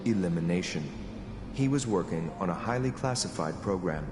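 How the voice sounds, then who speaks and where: An actor playing an emotionless, dutiful android agent in a video game, speaking in a clipped, formal, flat manner. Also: male voice, deep voice, normal speed, noisy background. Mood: cold, businesslike. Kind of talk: video game character dialogue. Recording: echoey hall reverb, music behind.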